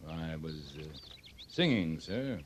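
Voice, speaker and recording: An actor playing a drily humorous frontier cavalry captain in a radio western, with an understated, folksy, deadpan manner. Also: male voice, rumbling low, slow, a little hiss or hum, full character voice. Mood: deadpan, respectful. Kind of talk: 1950s radio western acting.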